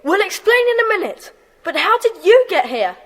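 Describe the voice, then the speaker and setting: Someone doing a plucky indignant schoolboy, really high voice, bossy and urgent, echoey reverb. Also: very animated, moderate pace, fairly bright tone, heavily acted. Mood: worried. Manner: earnest, commanding, urgent. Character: bold, indignant schoolboy leader of a children's gang. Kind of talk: children's audio drama acting.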